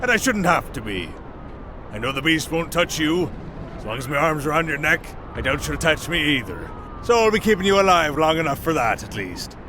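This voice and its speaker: An actor playing a boastful, coolly cruel pirate captain in a fantasy audio drama, measured and mocking with old seaman's phrasing. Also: fairly high pitch, very animated, noisy background. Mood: cool menace.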